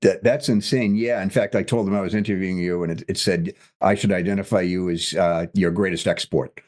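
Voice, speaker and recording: A male interview host with a somewhat low voice. He talks in a chatty, joking way and lets his questions ramble loosely. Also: steady tone, brisk, a little mellow. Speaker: podcast host. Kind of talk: interview hosting.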